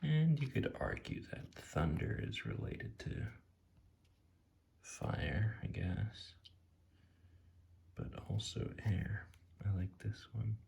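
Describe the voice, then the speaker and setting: Soft-spoken man with heavy vocal fry. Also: on the low side, big pitch swings, slow pace, pauses often. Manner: hesitant, musing, drifting into near-whispers. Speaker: tarot deck reviewer. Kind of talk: soft-spoken ASMR, impromptu commentary. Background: soft tapping and clicking.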